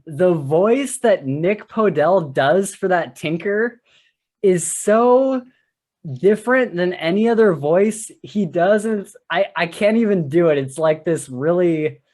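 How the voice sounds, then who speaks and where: A man's voice: high-pitched, expressive, slow, a little mellow. Enthusiastic man chatting casually about books. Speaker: podcast co-host. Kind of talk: casual book discussion.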